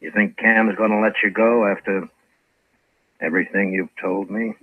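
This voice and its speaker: An actor as a deep-voiced, wily Western lawman. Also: steady tone, dry recording, close to an everyday voice. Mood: baiting. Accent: American. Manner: dry, unhurried, slyly understated. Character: calm, wily, dry-humored Old West lawman. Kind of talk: 1950s radio Western acting. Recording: muffled, narrow-band old radio recording.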